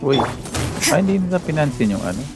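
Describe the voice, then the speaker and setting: A man's voice: on the high side, very animated, quick pace. A man with a Filipino accent giving casual live commentary while playing a game, in short muttered remarks. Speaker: game livestreamer. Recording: loud game music behind the voice. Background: video game music and sounds.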